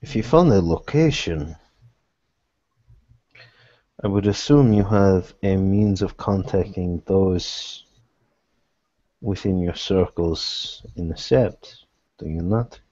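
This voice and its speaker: A man speaking in character in a tabletop roleplay, with formal, measured wording, on muffled low-fidelity audio. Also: expressive, mellow. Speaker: game master playing a character in a tabletop roleplay.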